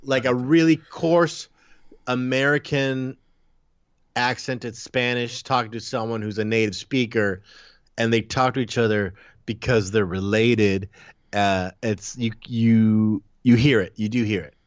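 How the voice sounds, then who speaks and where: A man co-hosting a podcast, chatting casually about a film in halting, rambling sentences. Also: mid-range voice, steady tone, smooth, no room sound, highs cut off. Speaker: podcast co-host. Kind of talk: casual movie discussion.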